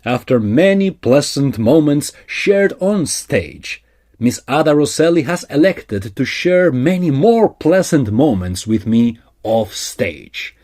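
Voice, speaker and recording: An actor playing a courtly, affectionate Victorian opera singer, formal and measured, in a period audio drama. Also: male voice, mid-range voice, big pitch swings, darkish tone. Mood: proud, affectionate.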